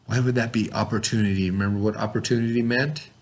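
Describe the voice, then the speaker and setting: A man's voice: lowish voice, steady tone, quick pace. A man teaching young children, reading a story aloud and then reviewing it with patient questions.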